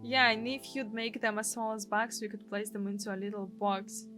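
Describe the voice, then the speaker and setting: A woman's voice: mid-range voice, steady tone, moderate pace, pushed and bright, faint music behind, lightly acted. A woman with a Russian accent voicing a tired, protective young boy while reading a game aloud. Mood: reassuring.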